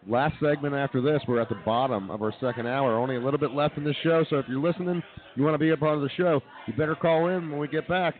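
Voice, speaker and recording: A man hosting a radio show, brisk and emphatic as he moves the show along. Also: a little high. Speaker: radio host. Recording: tinny phone-like sound.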